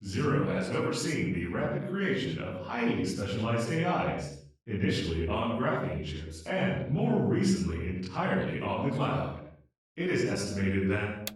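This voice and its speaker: A man voicing an anxious, polite, humanlike artificial intelligence in an emotional audio drama, speaking in a measured, formal, hesitant way. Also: on the low side, very animated. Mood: reluctant, presentational. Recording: echoey, distant-sounding room.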